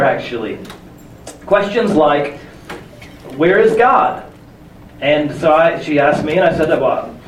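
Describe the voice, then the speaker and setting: A hoarse young man preaching a sermon in a conversational, self-deprecating way, with many restarts. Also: a little high, a little hiss or hum. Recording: echoey room from far off with faint ambience.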